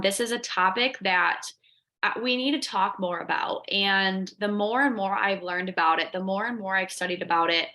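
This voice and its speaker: Female wellness coach, raspy from allergies, bright and keen. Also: mid-range voice. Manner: enthusiastic, chatty. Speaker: wellness coach and podcast host. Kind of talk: casual workshop presentation. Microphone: video call.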